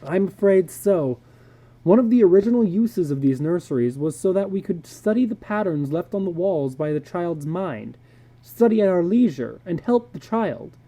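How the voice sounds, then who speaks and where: Blunt, dryly amused male psychologist for a storybook, acted by a narrator; raised pitch, lively swings, very soft-edged dark tone. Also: normal speed. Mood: grave, insistent. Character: David McLean, brisk blunt worldly male psychologist.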